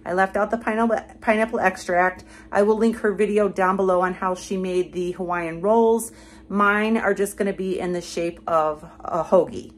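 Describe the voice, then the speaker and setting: Older woman, home cook vlogger, chatty step-by-step explainer, mid-pitched, kitchen setting. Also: steady tone, moderate pace. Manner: chatty, explains each step plainly with fillers. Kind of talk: casual cooking vlog.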